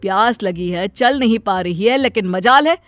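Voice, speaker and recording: A woman's voice: medium pitch, normal speed, no echo, soft background music, lightly acted. A woman voicing a stern middle-aged father in a cartoon story, who scolds his spoiled daughter and his wife. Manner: scolding, blunt.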